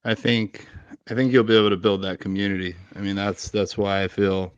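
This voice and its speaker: American man, podcast host, lowish voice, friendly and encouraging, slightly dull dry recording. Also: level tone, fairly quick, smooth, no echo. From United States. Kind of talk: casual interview talk.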